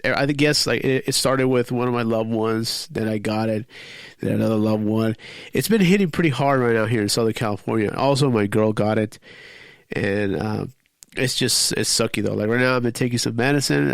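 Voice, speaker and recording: A man's voice: medium pitch, vocal fry, dry recording, clean studio sound. A man in his forties with a stuffy, cold-clogged voice, hosting an unscripted podcast in a rambling, profane, heated way. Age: 40s. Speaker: podcast host. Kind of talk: unscripted casual podcast rant.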